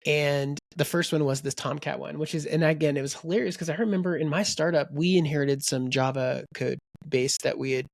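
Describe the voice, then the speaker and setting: A man's voice: fairly high pitch, fairly quick, creaky, few pauses, no room sound, studio quality. A man co-hosting a book podcast, rambling in casual chat and quoting people in voices. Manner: rambling, animated, quotes people in character voices. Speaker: podcast co-host.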